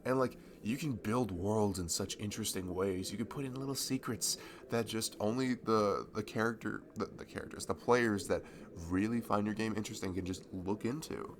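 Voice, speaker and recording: A man playing a friendly, nerdy young guy in a casual ASMR roleplay, chatting to a childhood best friend in a halting, self-deprecating ramble. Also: fairly low pitch, noisy background, lightly acted. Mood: enthusiastic, geeky. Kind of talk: improvised-sounding ASMR roleplay, casual one-sided conversation.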